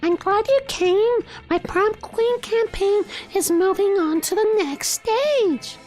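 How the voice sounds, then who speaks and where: A man's voice: very high voice, a little mellow, soft background music, pitched above the performer's own voice. A man voicing a haughty, sweetly ruthless young mermaid princess from a video game, prim and grandiose. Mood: regal, scheming. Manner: prim, grandiose, drawn-out delivery.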